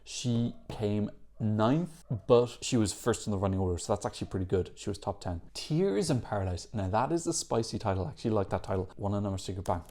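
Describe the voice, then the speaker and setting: A man's voice: lowish voice, expressive, a little fast, warm tone. A man hosting a Eurovision reaction video, giving chatty, opinionated commentary and correcting himself as he goes. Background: faint music from the songs being reacted to.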